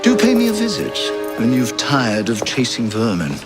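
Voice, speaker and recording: An actor playing a sly, flamboyant French aristocrat in a game cutscene, speaking in a florid, amused, theatrical way. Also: male voice, medium pitch, wildly swooping pitch, normal speed. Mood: amused, grandiose. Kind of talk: video game cutscene acting. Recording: loud music behind the voice.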